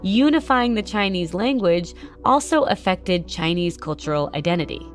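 A woman host narrating a scripted story and interviewing a guest, with a measured explanatory delivery. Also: lowish voice, animated, faint music behind.

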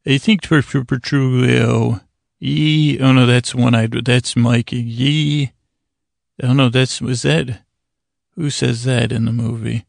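Creaky-voiced man rambling a bedtime monologue. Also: mid-range voice, steady tone, dry recording, studio quality. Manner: meandering, stop-and-start, drawn-out soothing delivery. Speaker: podcast host. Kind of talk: rambling bedtime monologue to lull listeners to sleep.

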